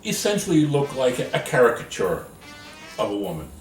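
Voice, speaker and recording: A man's voice: mid-range voice, wildly swooping pitch, fairly quick. A man being interviewed as a stage performer, explaining things conversationally in a hesitant, jokey way. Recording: roomy echo, distant mic, music behind.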